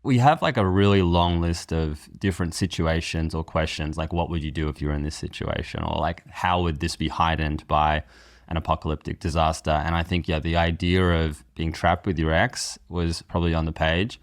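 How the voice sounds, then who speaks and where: Low-voiced man chatting as podcast guest. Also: fairly even intonation, fairly quick. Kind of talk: casual interview chat.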